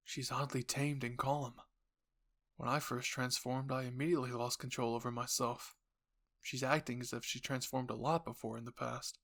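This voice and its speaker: A man's voice: mid-range voice, flat delivery, moderate pace, fairly bright tone, close to an everyday voice. A man voicing an earnest, protective young male soldier in an audio roleplay, pleading and reassuring. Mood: pensive.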